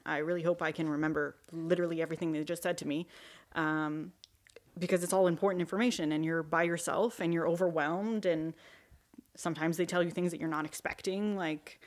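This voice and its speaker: Woman, young adult, new mother, conversational testimony, fillers, slightly low pitch. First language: not French. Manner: conversational, many fillers and restarts. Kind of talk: personal testimony interview.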